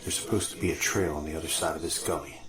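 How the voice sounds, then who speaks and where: A man playing a steady, practical ghost scout in an Old West audio drama, calm and plainspoken, with an echoey sound. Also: very deep voice, fast. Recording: echoey effect, music behind.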